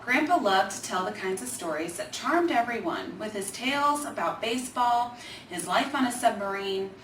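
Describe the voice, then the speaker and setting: A woman with a fairly high voice reads a children's book aloud. Her delivery is measured and expressive, stressing key phrases. She sounds a little away from the mic in an echoey room with faint noise. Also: distant mic. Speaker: Alzheimer's organization staffer reading a children's book. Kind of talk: scripted storybook reading.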